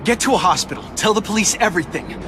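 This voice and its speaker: A man's voice: high voice, lively intonation, quick pace, background noise. An actor playing a young, wisecracking male superhero in a video game, earnest, quippy and urgent. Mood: sardonic, determined. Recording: loud music and vehicle sounds behind.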